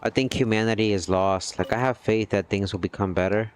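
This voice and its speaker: A man's voice: lowish voice, fairly even intonation, fairly quick, smooth. A man chatting casually with his livestream viewers, earnest and rambling, often checking in with "you know what I mean". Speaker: gaming livestreamer.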